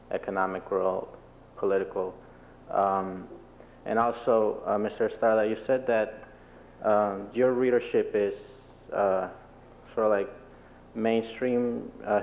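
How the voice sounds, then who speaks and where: A man in the audience asking a long question to a panel, hesitant and full of fillers. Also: on the low side. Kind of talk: question from the floor. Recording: phone-like thin sound, faint room noise.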